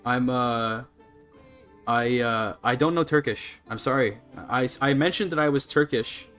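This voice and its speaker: Male game streamer, Canadian accent, average pitch, loose rambling apologetic delivery, music underneath. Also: a little slow, a bit bright, clean tone. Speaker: live streamer. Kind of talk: casual gaming livestream chat.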